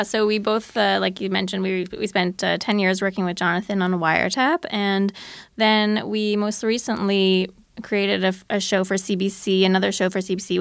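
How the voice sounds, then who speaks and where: A woman's voice: medium pitch, a bit bright, no echo, studio quality. A woman who produces podcasts, talking casually as an interview guest.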